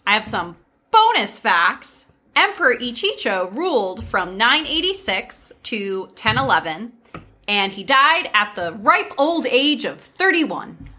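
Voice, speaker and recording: A woman's voice: on the high side, big pitch swings, slow pace, sharp tone. A woman hosting a casual podcast, chatting breezily with friends and leading into a folk tale. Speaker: podcast host. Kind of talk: casual storytelling chat with friends.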